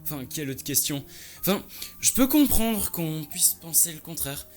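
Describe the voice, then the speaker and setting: A man's voice: fairly high pitch, sing-song, normal speed, soft background music. A man playing a passionate, touchy lynx who owns a photo lab, talking in an informal, slangy way in an audio story. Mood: persuasive. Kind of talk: French audio story dialogue.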